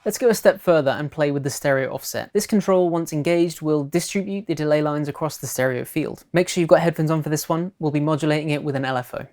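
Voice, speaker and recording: A woman presenting a software tutorial in scripted narration. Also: deep voice, quick pace, few pauses, faint music behind.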